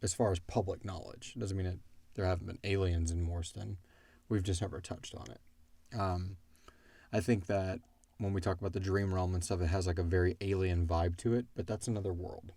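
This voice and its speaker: Male podcast host, low voice, informal and tangent-prone, thinking aloud in a dry, close-sounding recording. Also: steady tone, a little fast, mellow, no room sound. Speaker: podcast host and audio drama creator. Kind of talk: casual question-and-answer chat.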